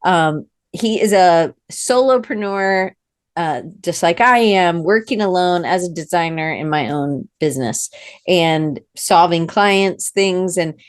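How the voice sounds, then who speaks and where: A woman's voice: fairly low pitch, takes it slowly, dry recording, studio quality. Bubbly American woman hosting a podcast. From United States. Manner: bubbly, rambling, with restarts and laughs. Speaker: podcast host. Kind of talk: casual interview hosting.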